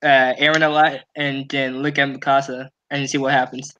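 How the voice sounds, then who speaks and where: A man's voice: mid-range voice, flat delivery, moderate pace, bright, forceful tone, clean tone, highs cut off. A young man in casual banter with a blunt, teasing, slangy way of talking.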